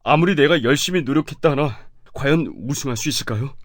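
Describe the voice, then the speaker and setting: A man playing a poor, simple young woodcutter in a children's folktale, earnest and excitable. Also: medium pitch, fast, no echo, clean studio sound, lightly acted. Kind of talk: children's animated folktale acting.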